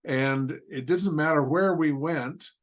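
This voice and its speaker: A man's voice: on the high side, slow pace. A man hosting a podcast interview, talking in a rambling way with many fillers. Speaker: podcast host.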